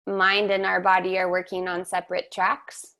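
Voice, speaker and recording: A woman hosting a webinar, speaking in a slow, measured, reflective way over a video call. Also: lowish voice, monotone, sharp tone. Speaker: webinar host and somatic teacher. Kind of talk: reflective guided conversation.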